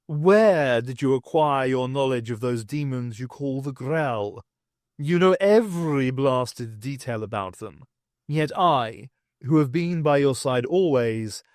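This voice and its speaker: A man's voice: mid-range voice, slow pace, a little mellow, no echo, clean studio sound, natural voice. A man voicing an anxious, baffled male cat companion who speaks in a formal, old-fashioned way. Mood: uneasy, perplexed.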